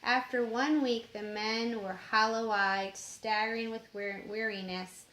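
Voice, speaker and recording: A woman reading a story aloud in a measured way, sometimes stumbling over harder words, in an echoing room. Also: a little high, fairly even intonation, a bit bright, a little hiss or hum. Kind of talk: storybook narration. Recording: roomy echoing home recording.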